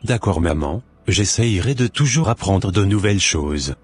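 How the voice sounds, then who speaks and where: A man's voice: low voice, animated, normal speed, darkish tone, husky, soft background music. A man playing a polite, obedient schoolboy son in a cartoon, speaking in a formal, deferential way. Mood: grateful. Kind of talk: scripted cartoon dialogue.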